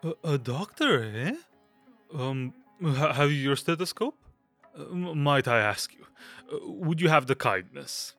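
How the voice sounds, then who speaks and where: A man playing a fussy, nervous, hypochondriac young gentleman in an audio drama, stammering and obsequious. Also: fairly high pitch, lively intonation, a little slow, soft background music. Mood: anxious. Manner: stammering, fidgety, obsequious, nervous little laughs.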